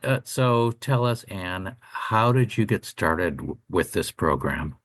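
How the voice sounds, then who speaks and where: A man's voice: sits low, big pitch swings, slow pace. A man co-hosting a radio show, making announcements and asking questions in a casual, chatty style. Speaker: radio show co-host and interviewer.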